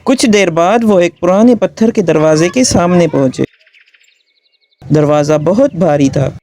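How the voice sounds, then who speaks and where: Man, slightly high voice, children's story narrator, scripted storytelling. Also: expressive, a little slow, dark tone, dry recording.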